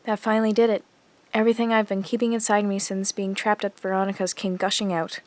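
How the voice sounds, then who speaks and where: A woman's voice: medium pitch, flat delivery, quick pace, a little mellow, no echo, close to an everyday voice. An actress playing an earnest teenage girl in a zombie audio drama, confiding and reflective as she narrates her diary. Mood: grieving, reflective. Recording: music under the voice. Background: music.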